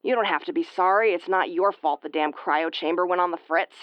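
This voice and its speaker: A woman's voice: fairly low pitch, brisk, no room sound, muffled recording, close to an everyday voice. A woman playing a brash, easily flustered starship commander with a tomboyish, brusque, sweary delivery in an audio roleplay. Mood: softening. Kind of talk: audio roleplay acting, spoken to the listener.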